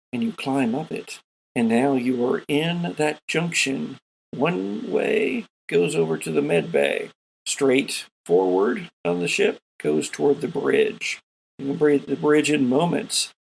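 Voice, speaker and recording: Male game master, heavily fried and raspy voice, slow-paced descriptive narration for a tabletop adventure. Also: medium pitch, slight background noise, low-quality recording. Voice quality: gravelly, husky.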